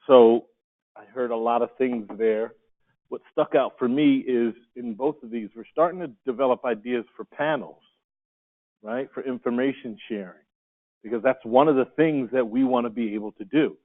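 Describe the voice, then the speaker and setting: A man's voice: mid-range voice, moderate pace. Coaxing male facilitator, tinny audio. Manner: upbeat, coaxing, asks the room questions. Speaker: workshop facilitator. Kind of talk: leading a group discussion. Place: conference room. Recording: tinny phone-like sound.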